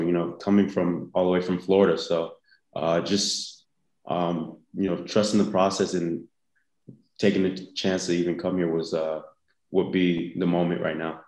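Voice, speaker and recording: Very low and even, this young man talks in a relaxed, halting way, pausing often as he answers interview questions. His voice has a roomy echo around it, and his pitch barely moves. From United States. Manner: easygoing, halting, with many pauses. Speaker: guest, football player.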